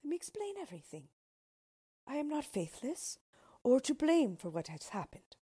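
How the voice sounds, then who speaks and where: A woman's voice: high-pitched, very animated, a little slow, mellow, pitched above the performer's own voice, full character voice. A woman voicing an anguished young king in a storybook, a devoted lover pleading melodramatically.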